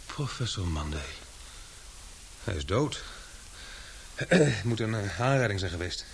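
A man acting in a Dutch radio drama as a sharp, suspicious investigator, speaking deliberately with hesitant pauses. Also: lowish voice, big pitch swings, vocal fry, a little hiss or hum, full character voice, rough recording. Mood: grave, reasoning.

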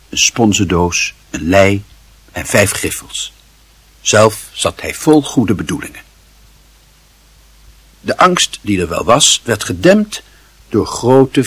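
A man narrating a radio play in a measured, expressive, literary storytelling style. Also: fairly low pitch, breathy edge, dry recording.

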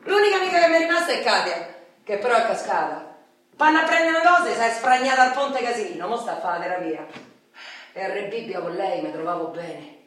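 An actress playing a rough, candid, wounded ex-convict street woman with a Roman accent, in a colloquial, rambling radio theatre monologue. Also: mid-range voice, lively intonation, fairly quick, fairly bright tone, close to an everyday voice. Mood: candid, wistful. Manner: colloquial, rambling, confiding, with outbursts. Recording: very echoey room, distant microphone.

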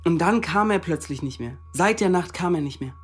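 A man's voice: fairly high pitch, lively intonation, moderate pace, breathy edge, faint music behind. An actor playing an insistent, calculating young man who questions a woman and narrates in a radio drama. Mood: doubting, insistent.

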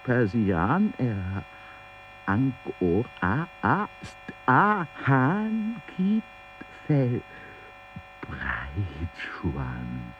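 An actor playing an agitated man in an experimental radio play, reciting in slow, broken phrases with wordplay. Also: lowish voice, huge pitch swings, soft background music, full character voice. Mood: raving. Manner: drawn-out, fragmented, word-play delivery. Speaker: radio drama performer. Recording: dull broadcast tape with mains hum.

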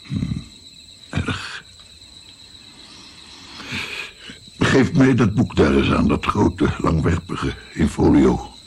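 An actor playing a weary, guilt-ridden grandfather of seventy-six in a radio drama, speaking in a brooding, pausing way with a gravelly voice. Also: very deep voice, sing-song, darkish tone, exaggerated voice. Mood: grave, haunted. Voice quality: gravelly, creaky. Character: weary, guilt-ridden 76-year-old Italian-born grandfather. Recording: faint noise, night crickets. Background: chirping crickets.

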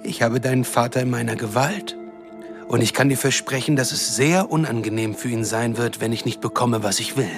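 An actor playing a cruel, condescending shapeshifter villain in a radio drama, taunting and threatening in a smooth-talking way. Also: male voice, mid-range voice, brisk, music in the background, lightly acted. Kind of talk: German radio drama acting.

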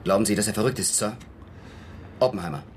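An actor playing a frank young American army lieutenant in a radio drama, deferential and matter-of-fact. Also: male voice, on the low side, quick pace, slight background noise, close to an everyday voice. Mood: frank, guarded. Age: young adult.

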